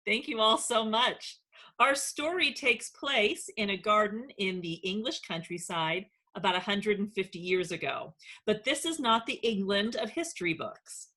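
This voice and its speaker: A woman's voice: mid-range voice, expressive, unhurried, bright, forceful tone. A woman hosting and narrating a children's read-aloud as its author.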